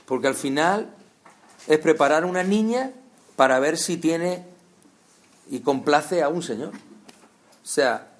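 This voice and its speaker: A man's voice: on the high side, expressive, slow, pauses often. Chatty preacher, man. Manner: conversational, addresses listeners directly with rhetorical questions. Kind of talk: sermon.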